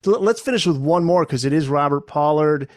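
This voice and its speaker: A man's voice: on the high side, lively intonation, brisk, no room sound. Male podcast host with a dry, close sound. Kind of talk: casual interview.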